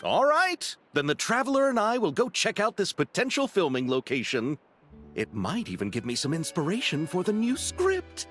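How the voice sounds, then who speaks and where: A man playing a polite, excitable film director with a flowery, dramatic way of talking in a video game cutscene. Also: sits high, normal speed, soft background music, exaggerated voice.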